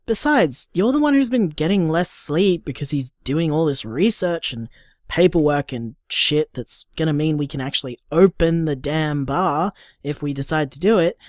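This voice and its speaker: A woman's voice: low voice, a little mellow, no room sound, studio quality. A woman playing a caring, stubborn, sweary partner in an audio drama, talking in an emphatic, coaxing, informal way. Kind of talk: naturalistic audio drama monologue.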